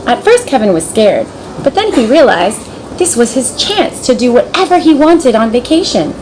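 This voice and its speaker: Female storybook reader, high-pitched, dramatic and expressive, with some background noise. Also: normal speed. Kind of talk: expressive scripted children's story narration.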